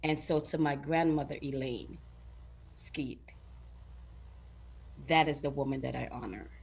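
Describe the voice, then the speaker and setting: A woman's voice: rumbling low, a little slow, gravelly, a little mellow, slightly rough. A middle-aged woman from Guyana, a talk show guest who speaks earnestly, laughs often and acts out what other people say. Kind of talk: casual interview chat.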